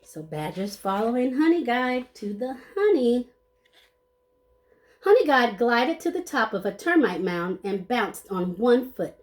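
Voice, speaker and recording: A woman's voice: on the high side, sing-song, measured pace. A woman reading a children's story aloud expressively, playing up the sound words and adding short asides to her listeners. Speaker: storybook reader.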